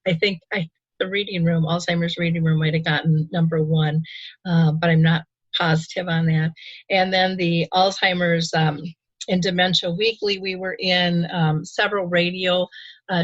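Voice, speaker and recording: A woman's voice: fairly low pitch, level tone, moderate pace, no echo, lo-fi sound. A woman hosting a radio talk show, speaking casually with many ums and a grateful tone. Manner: warm, grateful, hesitant with frequent ums.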